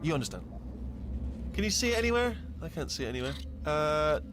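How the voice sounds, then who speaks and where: An English actor playing a bumbling, chatty robot sidekick in a video game, rambling, stammering and correcting himself in a flustered way. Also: male voice, fairly high pitch, big pitch swings, a little fast. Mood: fussy, rambling. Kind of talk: animated comic video game acting.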